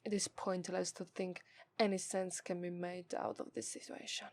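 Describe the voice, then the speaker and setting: A woman voicing a haughty, scornful, seductive demon in an ASMR roleplay, with an Italian accent and formal, deliberate delivery that dips into breathy whispers. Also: lowish voice, level tone, darkish tone, lightly acted. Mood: contemptuous.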